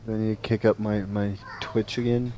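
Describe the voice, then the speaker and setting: A man chatting casually on a game stream, relaxed and self-deprecating, with household noise behind him. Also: lowish voice, fairly quick. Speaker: co-player on stream. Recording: noisy home mic.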